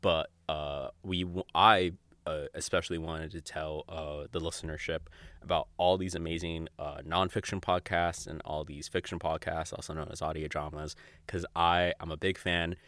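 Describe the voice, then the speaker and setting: Low-voiced, halting, self-conscious man podcasting. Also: flat delivery, a bit bright, no echo. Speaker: podcast host. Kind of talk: casual rambling solo podcast talk.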